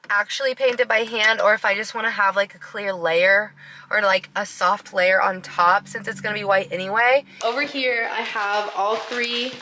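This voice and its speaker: Bright-voiced young woman rambling through her day, echoey house, bit of hiss. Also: on the high side. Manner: chatty, upbeat, lots of "like". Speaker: vlogger. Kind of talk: casual daily vlog chat. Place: large bright home interior. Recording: roomy echo, faint noise.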